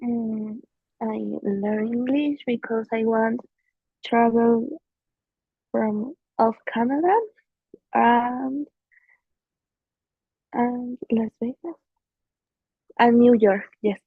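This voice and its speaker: A woman with Spanish as her first language, an English student giving halting, simple answers on a tinny online class call. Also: a little high, fairly even intonation, slightly rough, no echo.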